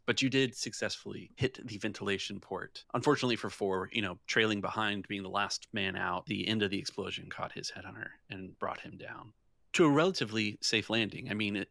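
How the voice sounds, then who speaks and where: Male game master, lowish gravelly voice, descriptive conversational storyteller, close dry sound. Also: bright, forceful tone, dry recording. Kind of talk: narrating a tabletop roleplaying game.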